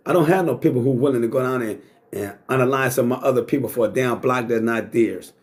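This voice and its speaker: A man's voice: medium pitch, fast. Blunt streetwise man swearing freely. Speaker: talk show host. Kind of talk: casual monologue to live chat.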